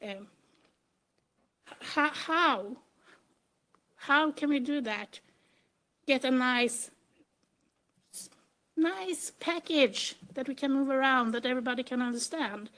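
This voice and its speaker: A woman's voice: high voice, takes it slowly, pauses often, a bit bright. A middle-aged woman with a Swedish accent, giving an informal, plain-spoken conference presentation in non-native English. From Sweden. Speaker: conference speaker, computer engineer.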